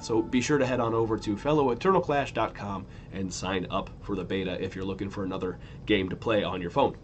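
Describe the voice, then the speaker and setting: Man in his thirties, gaming news host, sniffly from a cold, lowish voice, rambling and self-correcting, music behind. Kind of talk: casual news roundup.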